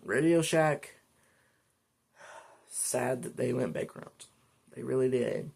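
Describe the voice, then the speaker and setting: A man's voice: mid-range voice, slow pace, creaky, darkish tone, slightly rough. A man talking casually and in a rambling, conversational way as the host of a hobby product video. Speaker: host of a weather radio channel. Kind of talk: casual product talk to camera.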